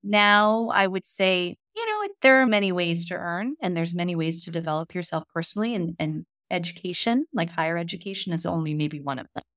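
Low-voiced woman chatting, upbeat. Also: expressive, fairly quick, few pauses, a bit bright, dry recording, clean studio sound. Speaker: real estate investor guest. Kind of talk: casual interview chat.

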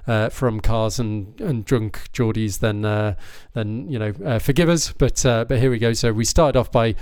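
A man's voice: lowish voice, moderate pace, darkish tone, smooth, dry recording. Rambly newcastle guy hosting a whisky podcast, lots of ums, dry sound. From Newcastle, England. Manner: chatty, rambling, many fillers. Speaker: podcast co-host. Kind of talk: casual whisky podcast chat.

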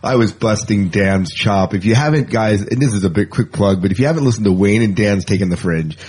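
A man's voice: fairly low pitch, brisk, a little mellow, breathy edge. A man co-hosting a casual sci-fi fan podcast, rambling and jokey, often repeating words for emphasis. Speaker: podcast co-host.

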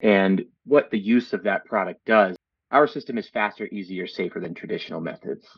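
A man's voice: lowish voice, normal speed, gravelly, husky, dry recording. A man speaking as an interview guest, explaining things over a dull, lo-fi remote link. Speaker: interview guest, sales manager.

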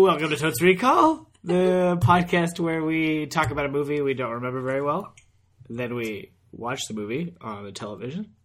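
A man's voice: fairly high pitch, fairly bright tone. A man co-hosting a podcast, speaking with a froggy voice from a cold, joking about himself. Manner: playful, self-mocking. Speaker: podcast co-host. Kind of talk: casual chat.

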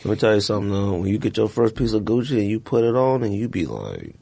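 A man's voice: lowish voice, fast, gravelly, breathy edge. A man hosting a podcast, chatting casually in slang and telling anecdotes in which he acts out the dialogue. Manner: slangy, animated storyteller who acts out dialogue. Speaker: sneaker podcast host.

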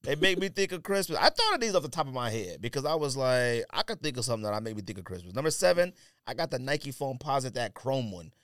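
A man's voice: medium pitch, sing-song, quick pace, few pauses, fairly bright tone, no echo, clean studio sound. A man co-hosting a podcast, chatting casually in a slangy, offhand way about his picks. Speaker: podcast co-host. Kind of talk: casual sneaker and sports podcast banter.

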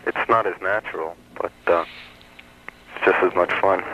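A teenage boy calls a radio show on the phone. His voice sits low yet sounds bright and forceful, and he talks in hesitant, trailing bits with quick laughs. The line is tinny and lo-fi. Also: fairly even intonation, slight background noise. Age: teens. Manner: hesitant, giggly, soft-spoken. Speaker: caller. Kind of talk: casual call-in radio chat. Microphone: telephone.

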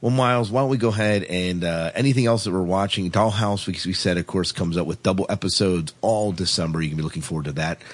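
A man's voice: deep voice, quick pace, few pauses. A man hosting a casual podcast chat, often repeating and restarting his phrases as he talks. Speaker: podcast host.

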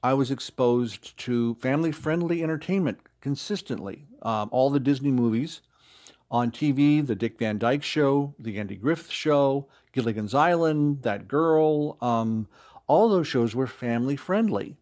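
A man giving casual recorded interview answers as a guest, rambling and expressive, with a joking storyteller's way of talking. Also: mid-range voice, measured pace. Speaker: interview guest, audio drama writer and actor.

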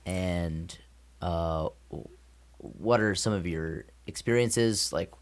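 A man with an American accent, hosting a casual interview and asking his questions in a halting, hesitant way. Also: sits low. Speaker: interviewer, host.

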